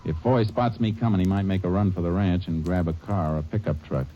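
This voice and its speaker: An actor playing a terse, level-headed Texas Ranger, who also narrates, in a vintage radio drama. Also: male voice, low voice, steady tone, brisk, a little hiss or hum, close to an everyday voice. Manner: terse, clipped, no-nonsense. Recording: dull old radio recording with music.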